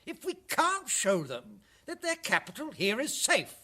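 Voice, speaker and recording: An actor playing a smug, pompous prime minister of an authoritarian regime in a satirical radio drama, oily and declamatory. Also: male voice, very high voice, huge pitch swings, a little slow, pushed and bright, exaggerated voice.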